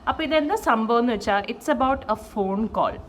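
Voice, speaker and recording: A woman telling a story in a casual, animated vlog style, mixing in English phrases. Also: on the high side, slow. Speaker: vlogger and saree store owner. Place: at home, indoors. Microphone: no external microphone, camera's own mic. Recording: faint noise, no microphone.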